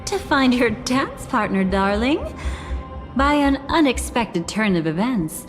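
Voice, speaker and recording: A woman playing an enigmatic, teasing figure in a video game, measured, languid and knowing. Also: on the high side, sing-song. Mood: amused, teasing. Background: game music.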